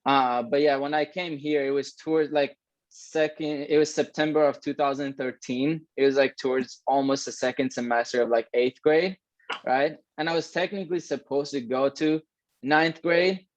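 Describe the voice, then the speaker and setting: A man's voice: on the high side, steady tone, normal speed, no echo. Fields: man in his twenties, from Iran, casual swearing talker, dull dry recording. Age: 20s. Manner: loose, swearing, lots of "like" and "right?". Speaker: co-host. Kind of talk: casual chat.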